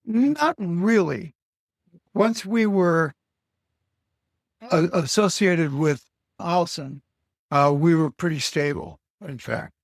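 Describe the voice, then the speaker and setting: Slow, halting older man, breathless. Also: a little high, lively intonation, dry recording, studio quality. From Alaska. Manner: halting, with many pauses and fillers. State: short of breath, on supplemental oxygen. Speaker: guest. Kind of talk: slow reflective interview answers.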